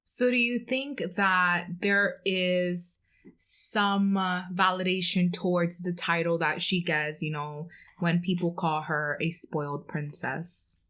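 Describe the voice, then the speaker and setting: A woman's voice: fairly low pitch, fairly even intonation, slow, fairly bright tone. A woman co-hosting a pop culture podcast in a casual, conversational style. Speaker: podcast co-host.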